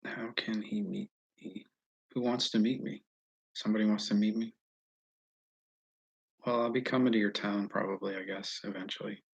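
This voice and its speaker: American guy rambling to his stream, gravelly and husky, stops and restarts a lot, kinda dull audio. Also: lowish voice, fairly even intonation. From Northeast United States, mostly Massachusetts. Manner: halting, self-interrupting, thinking aloud. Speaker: livestream host answering viewer comments. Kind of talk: casual rambling livestream chat.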